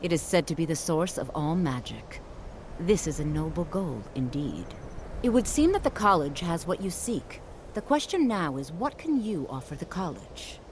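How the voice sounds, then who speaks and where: A formal, haughty female mage guarding a college gate for a fantasy game. Her voice sits on the low side and moves widely in pitch as she probes in measured phrases. Clear background noise sits behind her. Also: big pitch swings. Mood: measured, probing. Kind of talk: fantasy video game dialogue.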